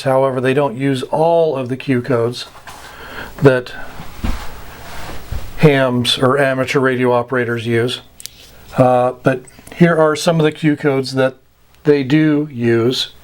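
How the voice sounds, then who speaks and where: An American man giving an informal instructional talk, plain-spoken and pausing between short phrases. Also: mid-range voice, fairly even intonation. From United States. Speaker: amateur radio instructor. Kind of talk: informal slide lesson explaining radio slang.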